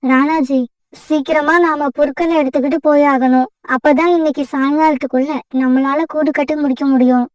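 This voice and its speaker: A woman voicing a polite, sensible female sparrow who speaks as a gentle peacemaker in a children's cartoon. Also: sits high, steady tone, moderate pace, no room sound, distant mic, close to an everyday voice. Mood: practical. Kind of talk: cartoon voice acting.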